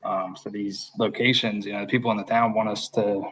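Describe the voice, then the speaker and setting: A man's voice: lowish voice, level tone, fairly quick, a crowd in the background, rough recording. An American man talking casually as a member of a paranormal show team. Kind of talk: casual chat.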